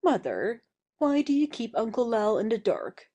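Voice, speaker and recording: A woman plays a headstrong young heroine of stage comedy. The soft, mellow voice moves widely in pitch, emphatic and passionate, with an exasperated, defiant manner. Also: very animated, normal speed, dull audio. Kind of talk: acted stage satire in audio.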